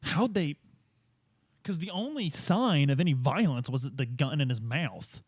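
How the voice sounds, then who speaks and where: High-pitched, animated man playing a tabletop game. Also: measured pace, breathy edge, no room sound, studio quality. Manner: slangy, repetitive, interjecting. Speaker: tabletop roleplay player. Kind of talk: casual improvised in-character chat.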